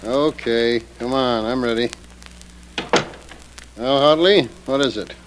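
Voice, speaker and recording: A man's voice: medium pitch, slow, close to an everyday voice. An actor playing a brusque, cynical backstage theatre man in a 1940s radio drama, curt and sardonic, on a noisy old recording. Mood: sardonic, impatient.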